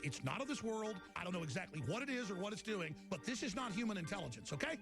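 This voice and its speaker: An alarmed man, played by an actor gives an urgent warning in dramatic film style. His voice is high and very animated. Music plays clearly behind him. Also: fast. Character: alarmed man warning of a non-human intelligence.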